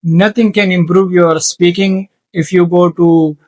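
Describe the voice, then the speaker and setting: Slow-talking, earnest male tutor. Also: sits high, fairly even intonation, darkish tone, dry recording. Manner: slow, earnest, with repetitions and restarts. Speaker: English teacher. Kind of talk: informal teaching monologue.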